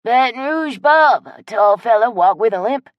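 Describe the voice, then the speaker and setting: A woman voicing a friendly, generous old man with a loud, drawling way of talking in an audiobook. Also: fairly high pitch, lively intonation, normal speed, no room sound, lo-fi sound, voice pushed up, close to an everyday voice. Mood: folksy, hearty. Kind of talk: audiobook character voice.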